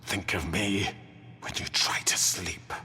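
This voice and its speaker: An actor playing a sinister, condemned villain in a video game, gravelly, slow, deliberate and menacing. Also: male voice, low voice, fairly even intonation, bright, forceful tone, full character voice. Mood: quiet menace. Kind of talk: dramatic video game acting.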